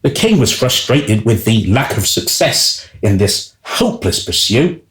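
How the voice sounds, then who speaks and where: A man plays a royal groom narrating a comic radio drama. His mid-pitched voice is theatrical and wry, moving expressively up and down, with noticeable echo. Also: big pitch swings, a little slow, fairly bright tone. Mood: wry, scornful. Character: wry male royal groom telling the tale. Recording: roomy, echoing sound.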